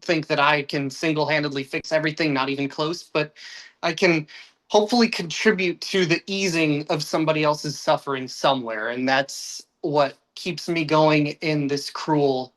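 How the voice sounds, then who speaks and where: An earnest young American man speaking as a podcast guest. His speech comes in uneven bursts, sometimes slow and sometimes quick, with restarts along the way. He sounds like someone in casual conversation. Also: a little high, fairly even intonation, a bit bright. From United States.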